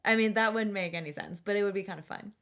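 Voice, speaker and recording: Woman, middle-aged, casual chat, podcast co-host, medium pitch. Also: quick pace, a bit bright.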